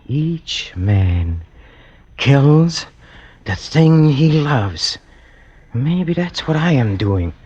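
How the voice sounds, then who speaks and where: An actor playing a lonely, unhinged killer in a vintage radio thriller, speaking haltingly and obsessively, repeating himself. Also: male voice, mid-range voice, a little mellow, slightly rough, heavily acted. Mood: rambling, intense. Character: lonely, unhinged young male killer, brooding and self-pitying. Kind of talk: 1940s-50s radio thriller acting. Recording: dull vintage radio recording.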